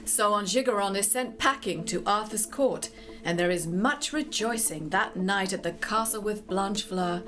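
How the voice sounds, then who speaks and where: A woman narrating a medieval legend as a scripted story, with dramatic, literary, measured phrasing. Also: medium pitch, over music.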